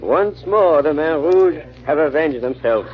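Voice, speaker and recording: An actor playing a fretful, gossipy French innkeeper with hesitant, courteous talk in a 1940s radio drama, on a muffled old recording. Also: male voice, on the high side, expressive, unhurried. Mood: gossipy, resigned.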